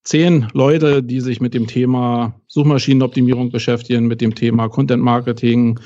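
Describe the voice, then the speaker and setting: A man in his late forties with a Berlin accent, telling his story as a podcast guest in a relaxed way with dry humor. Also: mid-range voice, normal speed, highs cut off. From West Berlin, Germany. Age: 40s. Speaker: podcast guest, SEO agency owner. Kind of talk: casual podcast conversation.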